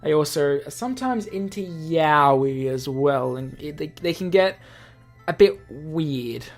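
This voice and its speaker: A woman's voice: low-pitched, animated, measured pace. A woman giving casual, chatty commentary as she reads a visual novel aloud, breaking off to explain terms. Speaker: let's play host. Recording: music behind the voice. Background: game music.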